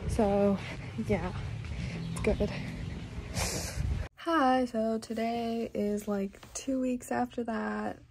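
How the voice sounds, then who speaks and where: A woman's voice: fairly high pitch, fairly even intonation, very slow, pauses often, a little mellow, slight background noise, low-quality recording. A teenage girl chatting casually in her vlog with plenty of fillers, filmed on a phone held close. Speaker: vlogger. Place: cold indoor riding barn, then bedroom.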